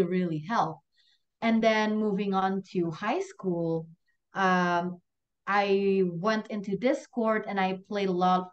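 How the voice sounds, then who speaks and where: A young woman with an Indonesian accent talks easily and giggles between thoughts. She runs an online class from behind a video call, and the sound is a little muffled. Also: mid-range voice. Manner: chatty, giggly, self-deprecating. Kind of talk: casual chat.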